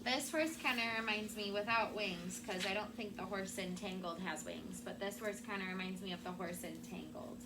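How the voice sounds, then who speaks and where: A woman teacher reads a storybook to young children in expressive, emphatic phrases. She has a medium-pitched voice, and the classroom has clear background noise. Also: normal speed, hardly any pauses. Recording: noisy room with background ambience.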